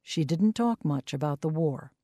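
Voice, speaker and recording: A woman reading scripted documentary narration in a measured, even way. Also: low-pitched, no echo.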